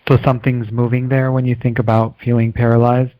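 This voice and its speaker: Calm, soothing male energy healer. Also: medium pitch, dry recording, highs cut off. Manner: calm, slow, soothing guidance with steady affirmations. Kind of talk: guided healing session.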